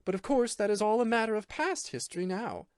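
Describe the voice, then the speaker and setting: A man's voice: high voice, animated, brisk, clean tone, no room sound, clean studio sound, pitched above the performer's own voice. A man voicing a cool, scornful young woman who speaks with mocking disdain. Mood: cold scorn.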